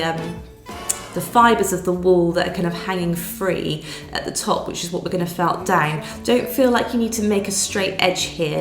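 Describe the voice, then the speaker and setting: A woman, nasal and croaky from a cold, patiently talking through a needle felting tutorial step by step. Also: on the low side. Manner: patient step-by-step explaining with fillers. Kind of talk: craft tutorial voice-over. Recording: roomy sound with soft music behind.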